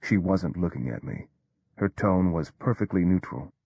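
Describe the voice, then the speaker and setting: A man narrating a story in the first person with a measured, understated delivery. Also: low voice, lively intonation, vocal fry, a little mellow, husky, dry recording.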